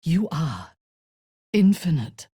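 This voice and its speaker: A woman voicing a ruthless, haughty dark elf warrior commander in a video game, speaking in an imperious, formal, menacing way. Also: sits low, sing-song, slow, breathy edge, no echo, clean studio sound, exaggerated voice. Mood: solemn, intimate.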